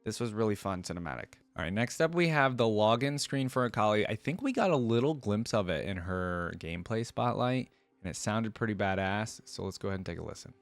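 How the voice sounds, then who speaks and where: A man giving casual reaction commentary as a video host, informal and thinking out loud. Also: lowish voice, expressive, fairly quick, hardly any pauses, dry recording. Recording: music behind the voice. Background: game cinematic and music playback.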